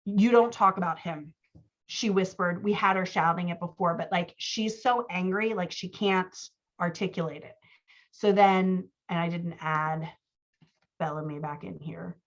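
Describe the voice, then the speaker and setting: A woman's voice: on the low side, steady tone, a little slow. Woman coaching in slightly dull audio. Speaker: book coach giving feedback on a writer's scenes. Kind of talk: casual coaching talk mixed with reading out scene dialogue.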